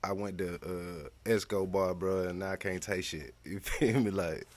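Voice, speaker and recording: Deep, laid-back man, thirty-one. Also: fairly even intonation, unhurried. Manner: laid-back, drawling, slangy. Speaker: podcast guest. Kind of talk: casual chat.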